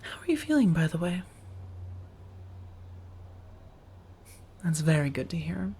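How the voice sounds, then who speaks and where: A woman acting as an affectionate female vampire roommate in an ASMR roleplay. Her voice is low and husky, with soft breath around the words. She speaks intimately to one listener, teasing gently and looking after them. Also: big pitch swings, heavily acted. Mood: tender, concerned. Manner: gently teasing, drawn-out phrasing, soft chuckles.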